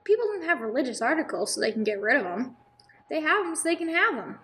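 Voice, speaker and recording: A woman's voice: sits high, sing-song, quick pace, a bit bright. A woman playing a blunt, opinionated shopkeeper in an amateur radio drama, chatty and emphatic. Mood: dismissive, certain. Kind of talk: 1950s radio drama acting, amateur church production.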